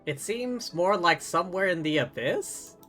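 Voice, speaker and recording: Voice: medium pitch, expressive, unhurried, fairly bright tone. A game streamer giving casual live commentary, reading along and reacting to the game. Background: game music.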